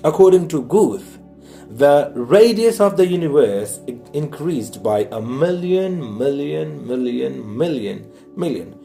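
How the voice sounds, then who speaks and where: A man reading a science audiobook aloud in a measured, explanatory way. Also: on the high side, warm tone. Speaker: audiobook reader. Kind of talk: scripted narration, reading a science book aloud.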